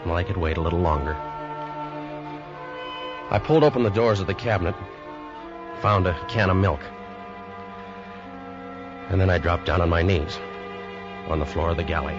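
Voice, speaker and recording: A man's voice: sits low, normal speed, pauses often. An American actor playing a shaken man who tells of mortal danger at sea, with dramatic intensity, in an old radio play. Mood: shaken, humbled relief. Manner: dramatic, intense first-person storytelling. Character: tense, shaken man recounting a deadly terror aboard ship. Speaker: radio drama actor narrating in first person. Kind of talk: 1940s-50s radio suspense acting. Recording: dull vintage radio recording, loud orchestral music.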